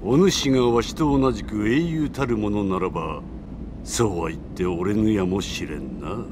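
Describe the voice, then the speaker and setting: An actor playing a shrewd, commanding middle-aged warlord of ancient China in a video game, speaking in measured, imperious, archaic style. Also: male voice, fairly low pitch, heavy background noise, lightly acted. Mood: measured, weighty. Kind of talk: Japanese video game cutscene acting.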